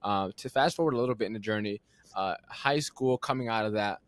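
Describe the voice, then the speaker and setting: A man's voice: fairly low pitch, fairly quick, no room sound. Laid-back young male podcast host, dry close sound. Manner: relaxed and conversational, uses slang. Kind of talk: casual interview chat.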